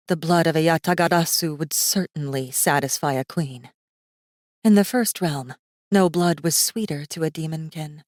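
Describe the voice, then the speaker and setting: A woman narrating a fantasy audiobook in a measured, suspenseful manner with deliberate pauses. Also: low voice, darkish tone, no echo, studio quality. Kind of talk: scripted dramatic fiction narration.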